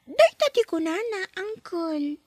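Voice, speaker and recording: A woman's voice: very high voice, big pitch swings, unhurried, a little mellow, no echo, exaggerated voice. A woman voicing a curious young girl cared for by a nanny, in a radio drama. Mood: chatty. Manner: inquisitive, talks up to the adults. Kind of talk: Ilocano radio drama acting.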